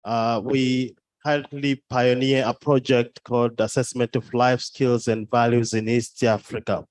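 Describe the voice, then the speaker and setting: Man, halting, repeats himself, conference floor remark. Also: medium pitch, little pitch movement. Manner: halting, repeats words, reads a quote. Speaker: conference participant. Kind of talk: prepared floor contribution.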